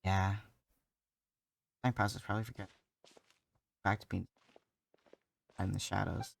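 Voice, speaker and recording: A woman's voice: a bass voice, flat delivery, unhurried, creaky, distant mic. A woman game streamer giving casual live reactions while she plays.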